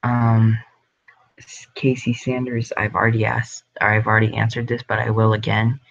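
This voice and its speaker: A man's voice: fairly low pitch, completely flat delivery, normal speed, gravelly, fairly bright tone, muffled recording, a little hiss or hum. A young man hosting a casual livestream from his home grow room, rambling with lots of ums and likes. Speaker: livestream host.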